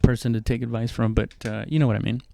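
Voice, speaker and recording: Man, medium pitch, clear smooth voice, casual rambling monologue, halting delivery, heavy swearing, dry close recording. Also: flat delivery, warm tone, dry recording. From immigrant, moved abroad at seven. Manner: profane, conversational, halting. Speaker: stand-up comedian podcast host.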